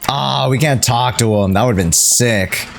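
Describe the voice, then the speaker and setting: A woman's voice: a bass voice, unhurried, vocal fry, faint music behind. A woman giving casual, slangy, reactive commentary while playing a game. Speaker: game streamer. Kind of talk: casual playthrough commentary, reading dialogue along.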